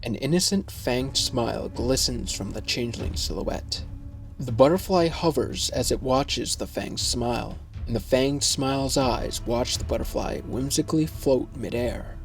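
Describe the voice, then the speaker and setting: A man narrating a scripted fantasy story in a measured, descriptive way. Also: mid-range voice, vocal fry, faint music behind.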